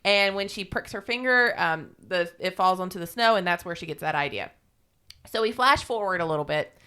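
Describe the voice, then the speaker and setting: Female podcast storyteller, irreverent and informal; lowish pitch, very clear tone. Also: a little fast, bright, forceful tone. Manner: irreverent, salty-tongued, informal. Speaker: podcast co-host. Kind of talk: casual retelling of a fairy tale.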